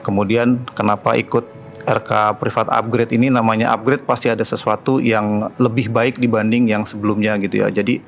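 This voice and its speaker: Jakarta area guy, low creaky voice, casual testimonial, faint noise. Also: flat delivery, moderate pace. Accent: Indonesian, greater Jakarta. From Bekasi, Indonesia. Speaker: training participant giving a testimonial. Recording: faint background noise, room ambience.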